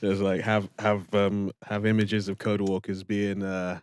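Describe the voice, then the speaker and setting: Low-voiced laid-back man, rambling. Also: flat delivery, measured pace, smooth, dry recording. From Nigeria. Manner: rambling, laughs often, many fillers. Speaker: co-host. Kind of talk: casual chat on a live stream.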